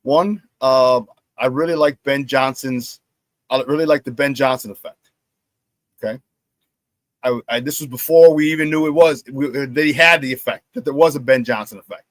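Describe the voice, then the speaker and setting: Brief: middle-aged male sports talk host, hoarse, dry close mic, animated and repetitive. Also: mid-range voice, normal speed, dry recording. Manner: animated storyteller who repeats phrases and laughs at his own stories. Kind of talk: casual sports talk monologue.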